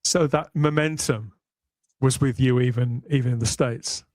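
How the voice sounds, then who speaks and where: A man hosting a podcast with conversational commentary, speaking in articulate, measured, storytelling phrases. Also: mid-range voice, steady tone, a little mellow, no echo. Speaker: podcast host.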